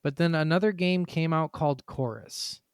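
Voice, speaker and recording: A man's voice: on the high side, moderate pace, clean tone, no room sound, clean studio sound. A man hosting a casual gaming podcast, chatting bluntly and swearing freely. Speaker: podcast host.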